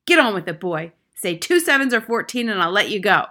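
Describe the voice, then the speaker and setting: A woman's voice: medium pitch, very animated, a little fast, sharp tone. A woman voicing a huge, ferocious headmistress in a story, barking and bellowing contemptuous orders and insults. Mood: furious, bellowing.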